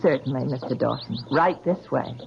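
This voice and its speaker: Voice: mid-range voice, moderate pace, gravelly, lightly acted. An actor playing a polite, eager-to-please real estate agent in a 1970s radio drama. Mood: obliging. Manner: polite, obliging. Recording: dull, noisy lo-fi radio tape.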